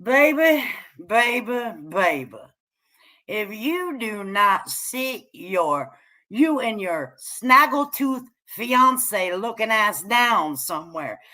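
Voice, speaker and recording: A woman streamer talks in a sassy, folksy style, taking her time over each phrase. Her pitch swings widely as she chats and gossips casually with pet names and slang. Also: big pitch swings, takes it slowly, pushed and bright. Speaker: YouTube live stream host. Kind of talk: casual livestream commentary and gossip.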